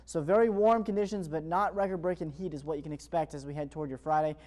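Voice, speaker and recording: An American man delivers a morning TV weather forecast. He talks quickly and fluently in an upbeat, polished presenter's style, moving briskly from one point to the next. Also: fairly high pitch, a little mellow. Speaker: television meteorologist.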